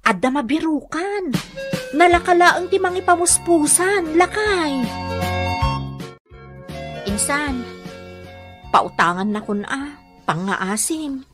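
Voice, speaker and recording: A high-voiced, hardworking wife in a radio drama, with music behind her. She is plaintive yet determined, speaking earnestly in a Northern Luzon Filipino accent. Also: lively intonation, takes it slowly, long pauses. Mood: weary, earnest. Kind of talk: radio comedy drama acting.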